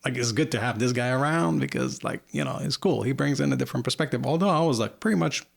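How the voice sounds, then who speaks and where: Gravelly-voiced man, candid and rambling. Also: medium pitch, fast. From Lebanon. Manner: candid, rambling, filler-heavy with frequent "like". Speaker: podcast guest. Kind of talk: casual conversation. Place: at home.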